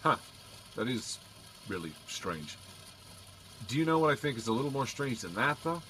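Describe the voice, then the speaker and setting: An actor playing a teasing, casually skeptical police officer in an audio drama, dry and conversational over car engine rumble. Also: male voice, very animated, moderate pace, a bit bright. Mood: curious. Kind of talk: naturalistic audio drama acting.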